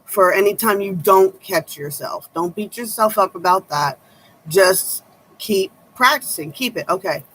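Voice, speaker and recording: A woman tarot reader with a low voice, giving blunt, sharp-tongued advice in a casual chat to her viewers. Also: big pitch swings, a little slow, a little hiss or hum, rough recording.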